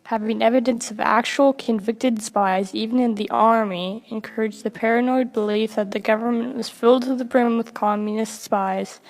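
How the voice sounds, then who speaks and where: Woman, student presenter, slightly high voice, scripted read-aloud narration. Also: a little mellow, poor audio.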